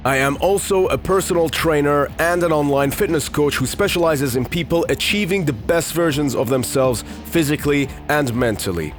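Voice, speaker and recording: A man's voice: a little high, moderate pace, few pauses, soft background music. A man in his thirties from Iran, hosting his own podcast in a candid, rambling way with many fillers. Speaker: podcast host. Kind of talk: casual solo podcast talk.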